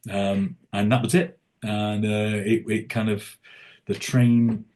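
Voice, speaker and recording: A man's voice: fairly low pitch, level tone, takes it slowly, a little mellow. A man who works as a tribute singer, talking as a podcast guest in a casual, rambling way with lots of restarts and fillers. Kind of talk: casual interview chat.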